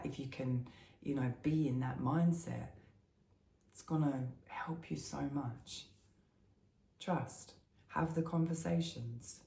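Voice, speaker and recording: A middle-aged woman with a croaky voice after the flu, giving a casual spiritual energy forecast and often adding "yeah?" to her sentences. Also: rumbling low, mellow, echoey room. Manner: chatty, often asks a tag "yeah?".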